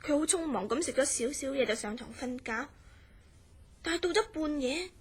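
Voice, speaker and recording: Radio drama: concerned young Hong Kong woman played by an actress, high-pitched, polite, measured and grave as she recounts a mystery. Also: expressive. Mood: earnest, grave. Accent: Hong Kong Cantonese. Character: concerned, earnest young woman telling of her troubled friend. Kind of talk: Cantonese horror radio drama acting and narration.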